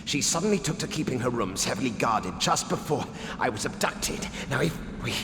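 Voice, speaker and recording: A low, slow voice of a captive prince in a fantasy game, solemn and desperate. He is strained and weakened, halting between breaths. The sound is noisy and drenched in long reverb. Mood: weak, pleading. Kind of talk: dramatic video game cutscene acting. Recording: noisy, echoing cavernous reverb.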